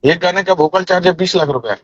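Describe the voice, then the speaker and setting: A man's voice: high voice, fast, no room sound, highs cut off. A man acting as a manager in a heated phone argument over fees, insistent and cutting in, on a recorded call. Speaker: singer's manager negotiating fees.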